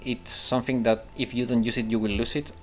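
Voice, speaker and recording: Man, casual streamer talk, thinks aloud, middle pitch. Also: little pitch movement, fairly quick, soft background music. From Italy. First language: Italian. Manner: rambling, thinks aloud with restarts and fillers. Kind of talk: casual gameplay commentary and chat replies.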